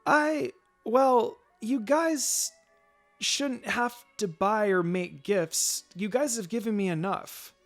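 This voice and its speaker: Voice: medium pitch, slow, soft background music, voice pushed up. A narrator voicing a shy young female ninja who talks in a hesitant, rambling way. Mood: flustered.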